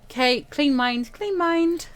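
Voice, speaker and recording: A woman's voice: sits high, slow. A woman reading a visual novel aloud and adding playful, giggly asides of her own. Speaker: let's play host.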